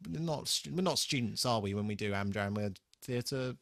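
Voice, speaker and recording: A man co-hosting a podcast in casual banter, halting and correcting himself as he thinks aloud. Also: on the low side, animated, creaky, no room sound, studio quality. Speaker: podcast host. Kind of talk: casual banter between co-hosts.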